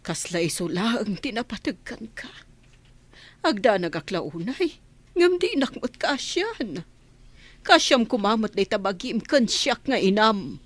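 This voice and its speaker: Emosional nga ina, agbaliwbaliw ti tono nga akto ti babai. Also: female voice, medium pitch, very animated, a little slow, dry recording. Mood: gentle pleading. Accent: Ilocano. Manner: emotional, pleading. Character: anxious, pleading mother fretting over her grown child. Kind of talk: Ilocano radio drama acting.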